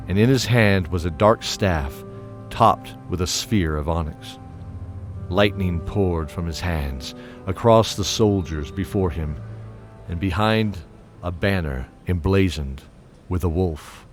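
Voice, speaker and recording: A male narrator with a low voice tells a fantasy tale. His scripted delivery is measured, with dramatic pauses. Music plays clearly underneath. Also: darkish tone.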